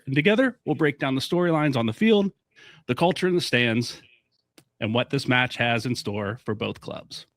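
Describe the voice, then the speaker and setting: A man's voice: on the high side, no room sound. A man hosting a soccer fan podcast, upbeat and talkative, moving from a scripted intro to friendly chat. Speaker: podcast host.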